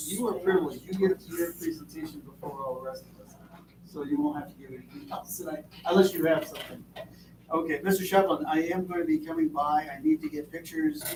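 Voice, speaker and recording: A man's voice: fairly high pitch, creaky, recorded from a distance. A man from Vermont on a school board, giving rambling, folksy remarks with many fillers. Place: school board meeting room. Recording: echoey room, mic from afar, background noise.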